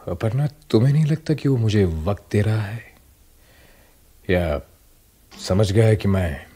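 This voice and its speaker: Radio drama husband: rough husky voice, slow, self-doubting, expressive and quick to object. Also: male voice, lowish voice. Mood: doubtful, objecting. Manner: hesitant, objecting, brooding. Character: anxious, irritable, self-doubting middle-class husband. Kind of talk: Hindi radio drama acting.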